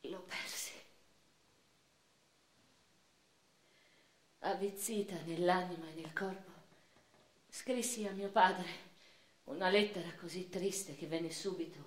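A woman's voice: fairly low pitch, fairly even intonation, unhurried, pauses often, close to an everyday voice. An actress playing a grieving, passionate eighteenth-century noblewoman poet who recounts her life in an intense, theatrical radio monologue. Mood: bitter sorrow. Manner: theatrical, intense, swinging from hushed sorrow to anguished outcry. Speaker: stage actress. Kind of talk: dramatic radio theatre monologue, first-person literary narration. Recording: roomy echo, faint music behind.

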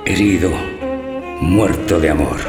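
An actor playing a gentle, naive, melancholy older husband in a theatrical radio drama, speaking tenderly and deliberately. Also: male voice, deep voice, over music. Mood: sorrowful. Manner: tender, poetic, deliberate delivery.

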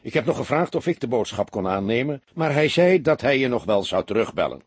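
A man's voice: medium pitch, very animated, a little fast, breathy edge, no room sound. A man voicing an old police desk sergeant, wry and teasing, in an audiobook story. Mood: businesslike. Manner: colloquial, teasing.

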